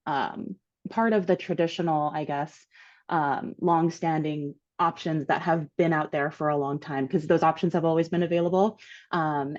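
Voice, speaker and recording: Low-voiced woman from Hawaii on a video call. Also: darkish tone, highs cut off. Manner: earnest, appreciative, rambling. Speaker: meeting participant. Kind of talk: asking a question on a video call.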